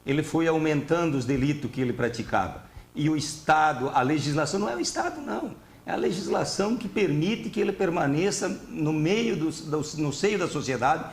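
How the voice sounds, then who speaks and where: A man's voice: fairly high pitch, roomy sound. Earnest man, police colonel on a panel. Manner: earnest, argues with rhetorical questions. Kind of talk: studio panel debate.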